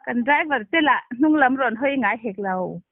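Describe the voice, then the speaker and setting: High-voiced woman on a tinny phone line. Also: lively intonation, fast, no room sound. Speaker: phone caller. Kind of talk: casual phone chat. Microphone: telephone.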